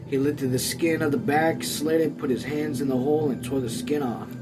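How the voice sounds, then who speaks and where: A man's voice: fairly high pitch, steady tone, normal speed, rough recording. A man hosting a read-along, narrating a novel aloud with casual asides. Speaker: host reading a novel aloud. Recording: music behind.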